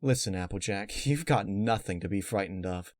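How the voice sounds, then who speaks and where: A man's voice: deep voice, moderate pace, no echo, lightly acted. Guy doing a bookish girl pony, earnest and reassuring, story reading, really dry close sound.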